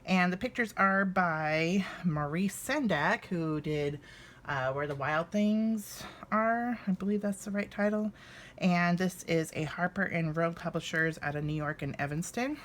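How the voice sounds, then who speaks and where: A woman's voice: on the low side, measured pace, fairly bright tone, slight background noise. An American woman in her fifties doing an informal children's read-aloud, with hesitant, rambling asides. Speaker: story reader for children.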